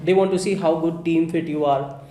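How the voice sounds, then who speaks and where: A man from India, a software engineer, talking casually as an interview guest, often pausing with "uh" as he recalls details. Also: on the high side, echoey room. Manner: relaxed, many "uh" pauses while recalling.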